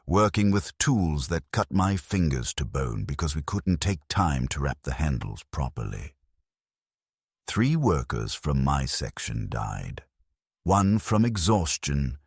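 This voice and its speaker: An extremely low-voiced man narrates a dark science-fiction tale with a husky rasp. His delivery is grave and measured, yet the pitch rises and falls dramatically. The recording is close and dry. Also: dry recording. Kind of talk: scripted first-person dramatic audiobook narration.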